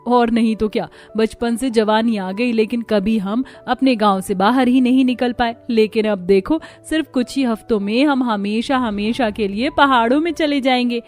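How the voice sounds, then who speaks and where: An eager young bride from a village cartoon tale acted by a woman. Her voice is high and she speaks with earnest, dreamy emphasis, over background music. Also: moderate pace, few pauses, natural voice. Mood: excited. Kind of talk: cartoon story dialogue.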